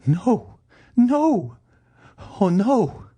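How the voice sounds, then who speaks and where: A man voicing a terrified gentlewoman begging her husband for mercy, with wailing, faltering pleas in a dramatic story reading. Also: big pitch swings, a little slow, husky, full character voice. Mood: overwhelmed, frantic. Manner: high, wailing, stammering pleas. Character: terrified, pleading wife, a guilty gentlewoman poisoner.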